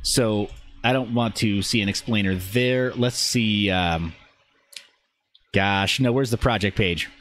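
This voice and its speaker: A man's voice: on the low side, takes it slowly, fairly bright tone, slight background noise. A man hosting a casual livestream, answering viewers and talking through what he shows, with rambling, self-interrupting asides. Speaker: live streamer and channel host. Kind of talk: casual livestream chat, answering viewer questions while demonstrating a website.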